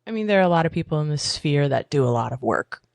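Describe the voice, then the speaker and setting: Young woman with a low deep voice, chatty and candid, laughs a lot, dry close mic. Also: expressive, quick pace, creaky, no echo. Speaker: podcast guest, boudoir photographer. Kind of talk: casual chat.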